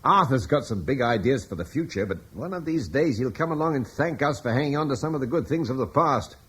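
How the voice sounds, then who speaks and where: An actor playing Julian, a steady middle-aged lord in a radio drama, speaking in a measured, thoughtful, reassuring way. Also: male voice, mid-range voice, husky. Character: steady older British lord, calm and reflective. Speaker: Julian, lord of a fortified estate.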